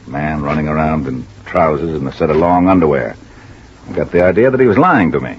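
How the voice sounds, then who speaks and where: A man's voice: rumbling low, lively intonation, a little fast, slightly rough, full character voice. An actor playing a talkative, businesslike male insurance man from the West in a 1950s radio drama. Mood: puzzled, businesslike. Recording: dull, noisy vintage radio recording.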